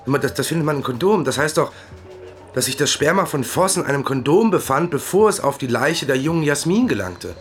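An actor playing a practical police detective in a crime radio drama, matter-of-fact in tone. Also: male voice, fairly high pitch, sing-song, a little fast, faint music behind. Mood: thoughtful. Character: male police detective, practical colleague and narrator.

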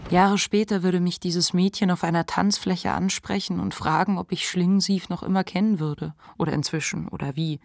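A woman playing the introspective inner voice of a manic writer in a radio drama, telling memories in a measured way. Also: fairly low pitch, fairly even intonation, vocal fry, a little mellow, no room sound. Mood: reflective, uneasy. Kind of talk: literary radio drama narration.

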